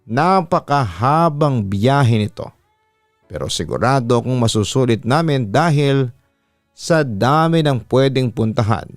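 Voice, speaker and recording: A Filipino man tells a story like a radio announcer, in a smooth and resonant voice. He keeps a measured, dramatic delivery and lets phrase endings stretch out. The sound is close and dry, with soft music underneath. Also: mid-range voice, dry recording. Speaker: radio announcer hosting a horror story show, reading listeners' letters. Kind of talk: scripted first-person story narration. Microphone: close studio microphone. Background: soft background music.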